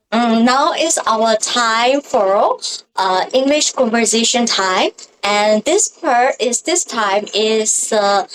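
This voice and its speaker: A woman host, asking questions slowly and haltingly with many fillers over a video call. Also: on the high side, a bit bright, a little hiss or hum, rough recording. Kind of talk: casual interview.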